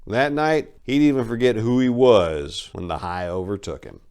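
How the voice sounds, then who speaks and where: Deep-voiced man narrating crime fiction. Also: moderate pace, darkish tone, smooth. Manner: theatrical, sardonic asides. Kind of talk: dramatic reading of a crime story.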